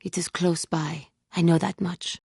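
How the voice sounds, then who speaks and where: A proud, troubled female warrior from a fantasy video game. Her voice is on the low side and very husky, with little room sound. She speaks formally and solemnly, as someone burdened by guilt. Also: steady tone, darkish tone, dry recording, clean studio sound, heavily acted. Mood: contrite. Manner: formal, solemn, deliberate phrasing without contractions. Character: proud, tormented, repentant female fallen Jedi warrior. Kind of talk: dramatic video game character dialogue.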